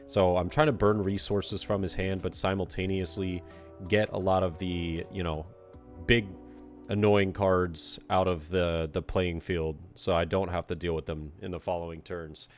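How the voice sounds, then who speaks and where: Congested man with a very low voice. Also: normal speed, soft background music. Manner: relaxed, filler-heavy, self-critical play-by-play. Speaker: card game player and commentator. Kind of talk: casual commentary over a recorded match.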